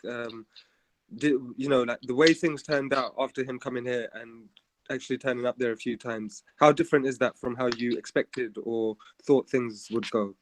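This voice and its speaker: Fast, rambling male panelist. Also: mid-range voice, a little hiss or hum, low-quality recording. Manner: earnest, rambling, apologetic. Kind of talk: casual panel discussion.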